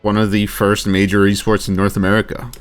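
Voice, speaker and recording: A man's voice: on the low side, monotone, brisk, faint music behind. A man chatting casually as a gamer, opinionated and argumentative in a matter-of-fact way. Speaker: gamer chatting during a let's play.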